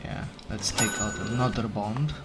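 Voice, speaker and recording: A man whose first language is not English, casually commenting on his game as he plays, unhurried and thinking aloud, often correcting himself. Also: on the low side. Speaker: let's play gamer. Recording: game music playing behind. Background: game music.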